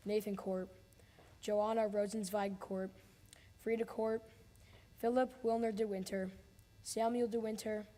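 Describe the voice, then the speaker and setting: A young woman solemnly reading a family's story and a list of names in a careful, steady recitation. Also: medium pitch. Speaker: student reader. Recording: long hall reverb.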